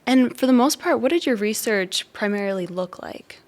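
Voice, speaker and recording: A woman's voice: a little high, lively intonation, moderate pace. A woman speaking as a podcast host, introducing a guest and asking questions.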